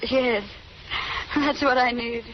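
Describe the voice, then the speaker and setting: A woman's voice: on the high side, steady tone, takes it slowly, loud music behind the voice. A woman playing a troubled, guarded young fugitive, speaking hesitantly and earnestly in 1930s radio melodrama. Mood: evasive. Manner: hesitant, earnest, broken phrases. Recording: muffled, noisy 1930s radio recording.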